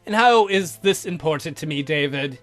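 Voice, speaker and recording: Lady doing a bossy cold count guy, measured and imperious, music under. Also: deep voice, animated, voice pushed down. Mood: commanding. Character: stern, commanding male count, coolly probing. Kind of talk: visual novel read aloud in character.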